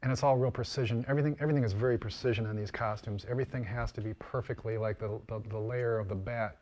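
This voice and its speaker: A man's voice: mid-range voice, fairly even intonation, fast talker, a little mellow. A man being interviewed as a costume and prop maker, explaining informally and often repeating and rephrasing himself.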